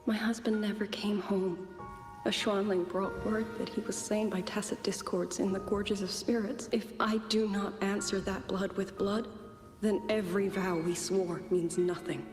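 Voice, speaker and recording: A resolute, grieving warrior widow in a fantasy game, played by a woman. She speaks formally and with restraint at a middling pitch, in long reverb over clear music. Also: level tone, moderate pace, darkish tone, close to an everyday voice. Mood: sorrowful resolve. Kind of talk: scripted game dialogue.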